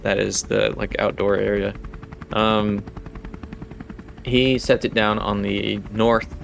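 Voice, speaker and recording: Low, gravelly male game master. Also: flat delivery, a little hiss or hum. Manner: halting, descriptive. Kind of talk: improvised tabletop game narration.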